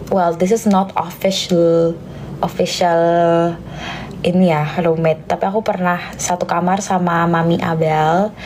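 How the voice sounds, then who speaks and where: A young woman chats casually with her viewers, answering questions as they come in. Her pitch sits in a middling range, and she throws in English words here and there. A faint hiss runs under the phone recording. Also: a little mellow. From Indonesia. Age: young adult. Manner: chatty, mixes English phrases, drops to a whisper at times. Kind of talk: casual live Q&A chat.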